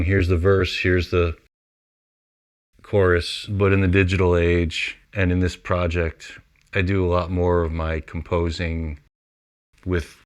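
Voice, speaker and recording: A man who works as a composer casually explains his songwriting, thinking aloud in an informal way. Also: deep voice. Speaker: composer and musician.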